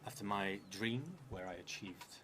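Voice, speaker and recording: A man in his thirties with a creaky voice, thinking aloud and reading as he plays a solo tabletop game, halting and trailing into murmurs. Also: sits low, fairly even intonation, noisy background. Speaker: solo tabletop roleplayer streaming.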